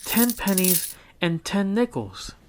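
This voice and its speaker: A man doing a gentle storybook mom. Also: high-pitched, very animated, slow, a little hiss or hum, voice pushed up, full character voice. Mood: caring. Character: caring mother, gentle and practical.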